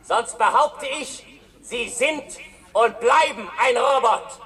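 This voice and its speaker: Shrill heckler, taunting, slow, bright sharp tone. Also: male voice, heavily acted. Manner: taunting, goading. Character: scruffy male heckler, mocking and provoking.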